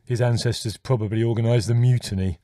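A man's voice: medium pitch, moderate pace, darkish tone, no echo, clean studio sound, lightly acted. A man doing a wry, mischievous young brother. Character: sardonic young brother who enjoys mischief.

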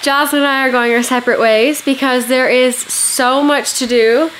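Woman, thirties, high voice, upbeat casual vlog delivery, faint background noise. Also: fairly even intonation, moderate pace, a bit bright, rough recording. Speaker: vlogger. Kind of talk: casual vlog talk to camera. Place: indoors in a wooden cabin.

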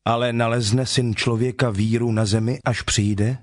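A man playing Jesus as a solemn, authoritative teacher in a dramatized scripture reading, speaking in measured declarations. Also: medium pitch, fairly even intonation, no room sound, studio quality, close to an everyday voice. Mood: solemn, instructive. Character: Jesus, solemn teacher telling parables and instructing followers. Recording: music behind the voice.

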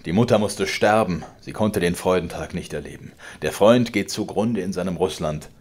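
A man reading a story voices a domineering old father who rants loudly, mocking and accusing his son. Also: sits low, normal speed. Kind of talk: audiobook character voice.

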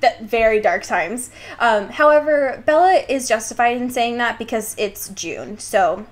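A woman with a fairly high voice hosts a casual podcast book recap. She talks in a giggly, irreverent way and swears freely. The delivery is loose and conversational, as between two co-hosts. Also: a little slow. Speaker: podcast co-host.

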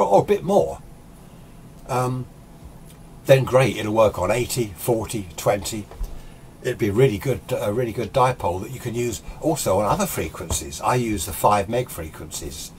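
Older British man, low voice, noisy room. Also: a little mellow. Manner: conversational, emphatic, with rhetorical questions. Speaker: amateur radio enthusiast presenting a tutorial. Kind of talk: informal unscripted explanation. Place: small indoor radio workshop full of equipment. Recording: clear background room noise.

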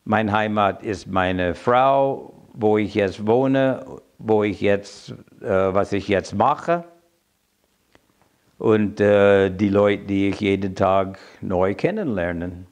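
A man in his seventies with an American accent and English as his first language, giving a reflective personal account and haltingly searching for words. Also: fairly low pitch. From United States. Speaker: interviewee telling his migration story. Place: quiet room indoors.

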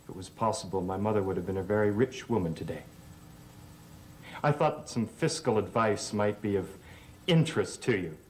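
An actor playing an insolent young cousin in a 1960s television soap, sardonic and mock-polite. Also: male voice, fairly low pitch, brisk, slight background noise, lightly acted. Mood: sly, mocking. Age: young adult. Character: sly, insolent young cousin and would-be heir. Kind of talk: 1960s TV soap opera acting. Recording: vintage TV soundtrack with background music.

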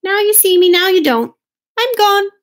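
A woman voicing a small, polite, apologetic mouse from a children's storybook, soft and tentative. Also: very high voice, animated, normal speed, voice pushed up.

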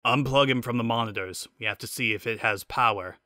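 A man voicing a gruff, fatherly old male hedgehog mechanic in a story reading, stern and scolding. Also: mid-range voice, a little fast, pushed and bright, dry recording, clean studio sound, natural voice. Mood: focused.

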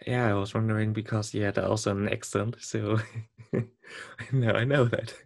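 A man from Germany with a German accent, speaking as a podcast guest in casual, halting talk with frequent chuckles. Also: fairly low pitch, level tone, vocal fry. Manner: halting, restarts words, chuckles often. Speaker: podcast guest, competitive game player.

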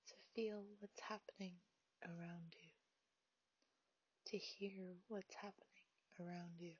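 Woman meditation guide: breathy half-whisper, unhurried delivery, muffled dry home recording. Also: lowish voice, steady tone. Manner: soft, half-whispered, slow and soothing.

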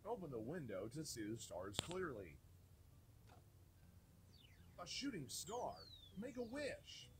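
A man's voice: fairly high pitch, big pitch swings, slow pace, long pauses, slight background noise. A man narrating a children's game from a script, giving simple instructions for young kids.

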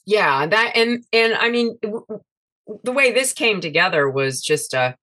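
A woman's voice: mid-range voice, lively intonation, a little slow, sharp tone. A woman guest on a podcast, talking casually about her recipes with many fillers and restarts. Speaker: guest, cookbook author. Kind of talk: casual interview chat.

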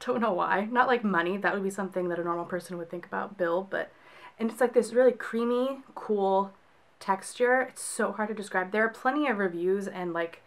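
A young woman with a Texan accent, her voice soft and scratchy from a cold, chatting casually and rambling to camera about monthly favorites in a small room. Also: medium pitch, a little fast. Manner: chatty, rambling, with frequent laughs. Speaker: book and beauty vlogger. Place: small room in front of bookshelves, indoors.

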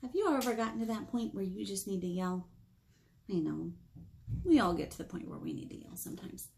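A woman's voice: mid-range voice, fairly quick, creaky, darkish tone. An American woman in her thirties hosting a children's storytime, chatting in simple phrases and asking young listeners questions. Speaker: children's librarian, storytime host. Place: small indoor room, painted wall.

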